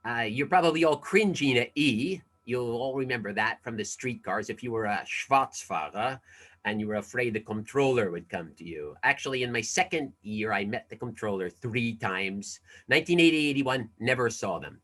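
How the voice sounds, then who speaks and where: A man's voice: medium pitch, normal speed, fairly bright tone. Chatty older man hosting a video-call quiz. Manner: chatty, self-deprecating, asides and fillers. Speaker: alumni event quiz presenter. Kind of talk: casual online presentation.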